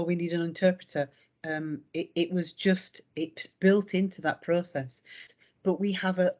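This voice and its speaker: A woman, a liaison officer speaking matter-of-factly on a video call. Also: on the low side. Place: home room indoors. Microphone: video call webcam. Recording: dull lo-fi video call audio.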